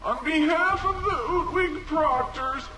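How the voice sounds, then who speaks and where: An actor voicing a gloomy alien envoy in a video game, mournful and grudgingly formal. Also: male voice, very high voice, unhurried, reverberant, music in the background, low-quality recording. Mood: mournful, weary. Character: gloomy, reluctantly courteous small alien envoy. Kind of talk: video game character acting.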